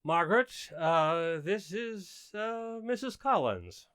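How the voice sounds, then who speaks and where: A man plays a well-meaning suburban husband from a radio sitcom, coaxing and defensive. His delivery is earnest and hesitant, very lively in pitch, in a dry recording. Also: slow pace, clean tone, dry recording, exaggerated voice. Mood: flustered. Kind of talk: old-time radio sitcom acting.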